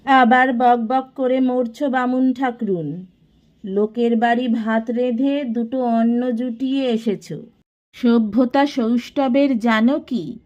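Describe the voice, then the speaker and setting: A woman plays an imperious household matriarch from an audio storybook. She speaks high and slowly, with scolding sarcasm and domineering pride, on a slightly dull recording. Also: darkish tone. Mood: scornful, scolding. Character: haughty, scheming, domineering elder matriarch of a declining household.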